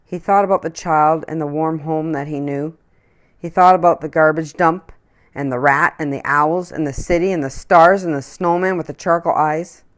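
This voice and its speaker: A woman reading a children's picture book aloud in an expressive storytelling manner. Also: sits low, moderate pace.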